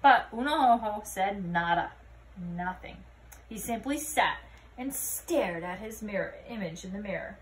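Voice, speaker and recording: A woman reading a children's picture book aloud expressively, pausing to put questions to young listeners. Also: medium pitch, lively intonation, slight background noise, poor audio. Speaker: read-aloud storyteller.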